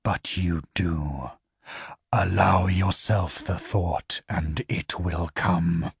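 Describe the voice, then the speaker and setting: A man voicing a cold, seductive inhuman creature in a spoken story, low and hushed, at times whispering. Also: steady tone, very slow, gravelly, husky, no echo, clean studio sound, full character voice. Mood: soothing. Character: sinister seductive infernal creature, calm and cold.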